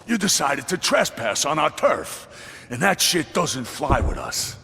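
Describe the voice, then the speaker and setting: High, taunting biker thug. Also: male voice, sing-song, a little slow, vocal fry, in a large hall, background noise, full character voice. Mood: cocky menace. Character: cocky, threatening male biker gang thug. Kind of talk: video game cutscene acting.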